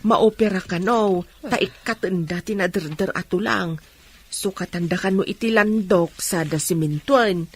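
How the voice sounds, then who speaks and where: A woman's voice: medium pitch, a little slow. A woman playing a concerned, sympathetic mother in an Ilocano radio drama, speaking in a fretful way. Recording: clear background noise and ambience.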